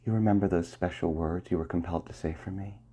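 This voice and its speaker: A man giving a slow, soft and commanding hypnosis roleplay in the ASMR style, often dropping to a half-whisper. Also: deep voice, steady tone, slightly rough.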